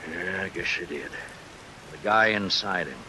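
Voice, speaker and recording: Low-voiced, wry American sheriff. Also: male voice, sharp tone, heavily acted, low-quality recording. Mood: dry reproach. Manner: folksy, dry, unhurried needling. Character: weary, wry small-town sheriff, fatherly but needling. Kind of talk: 1940s American radio drama acting. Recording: hissy old radio recording with rain.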